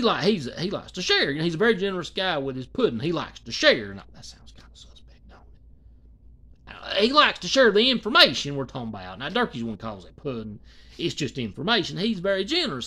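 Man, thirties, rambling colloquial commentary, bright voice, swinging pitch, close webcam in a small room. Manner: loose, colloquial, many restarts and asides. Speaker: commentary vlogger. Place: small dim indoor room. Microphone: phone or webcam close to face.

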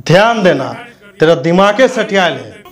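Guy in his 30s, high voice, sweary scornful political rant into a lapel mic. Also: very animated, moderate pace. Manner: scornful, abusive, confrontational. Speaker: news commentator. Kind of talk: combative political rant to camera.

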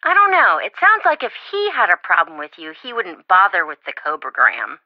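A woman's voice: lowish voice, very animated, moderate pace, no echo, lo-fi sound. A woman playing a chatty, flighty, well-meaning young best friend in a video game, rambling and blurting things out over-eagerly. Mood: gossipy, musing.

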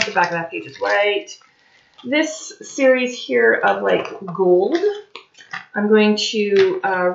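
A woman in her forties, slightly raspy, chatting casually at home about her craft journal pages with lots of fillers. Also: medium pitch, slight background noise. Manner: chatty, rambling, many fillers. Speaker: craft and tarot vlogger. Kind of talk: casual chat while showing craft pages. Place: home dining room by a china cabinet. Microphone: webcam at desk. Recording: slightly dull lo-fi webcam audio.

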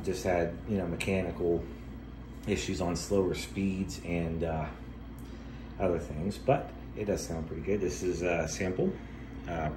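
A middle-aged man giving a casual, rambling demonstration as a vintage gear hobbyist. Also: low-pitched. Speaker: vintage tape recorder collector demonstrating a machine. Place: home dining room. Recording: roomy echo, steady background noise.

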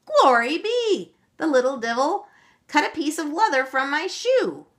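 A woman voicing an excitable older Irish auntie who crows and cries out in a high voice. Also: measured pace, full character voice. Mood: delighted.

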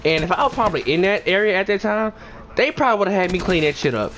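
A man's voice: high-pitched, lively intonation, quick pace, a bit bright. A young man telling a casual, rambling story for a gaming video, swearing often in slangy speech and getting emphatic when indignant. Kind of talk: casual storytime over gameplay. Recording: clear background noise and ambience.

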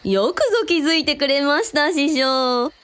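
A woman's voice: high-pitched, animated, a bit bright. A woman playing an affectionate, girlish young sleuth with playful, quirky phrasing in a radio drama. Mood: earnest. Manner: drawn-out, playful, quirky sentence endings.

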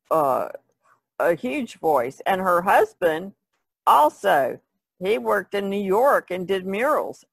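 A woman chats casually on a podcast panel. Her voice has a gravelly fry and moves around a lot in pitch. It is recorded close and dry. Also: dry recording. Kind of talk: casual chat.

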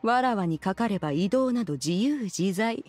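A woman's voice: mid-range voice, lively intonation, normal speed, darkish tone, faint music behind, close to an everyday voice. A woman voicing a haughty ancient female immortal in a video game, speaking in an archaic, imperious, formal manner.

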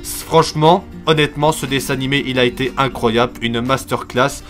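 Young male YouTube host, casual fan commentary, enthusiastic, mid-pitched, light music underneath. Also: steady tone, fairly quick, a bit bright. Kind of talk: casual TV news commentary for a video channel.

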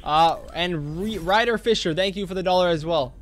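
Man, young adult, streamer, casual chat, laughs often, home gaming room, slightly high voice. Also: normal speed, a little hiss or hum. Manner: laid-back, laughs often, thanks donors.

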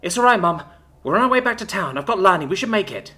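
A woman voicing a protective older sister forcing calm under dread in an audiobook, reassuring yet urgent. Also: mid-range voice, quick pace, pitched above the performer's own voice. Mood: forced calm. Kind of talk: audiobook character dialogue.

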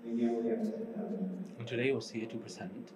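A middle-aged man with a Portuguese accent presents from a conference stage in short, halting phrases. He has a mid-range voice. The audience can be clearly heard in the hall around him. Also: mid-range voice, lively intonation, crowd noise. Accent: Portuguese with Spanish mixed in. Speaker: company co-founder presenting.

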